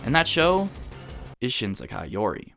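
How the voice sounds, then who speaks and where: A man's voice: mid-range voice, wildly swooping pitch, moderate pace, gravelly, fairly bright tone, faint music behind. A man in his early twenties narrating a scripted video essay as an opinionated, enthusiastic fan. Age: early 20s.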